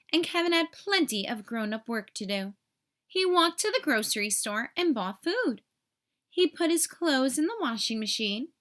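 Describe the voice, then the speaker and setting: A woman narrating a children's story aloud in an expressive, clearly enunciated storytelling manner. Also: high voice. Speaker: storybook reader.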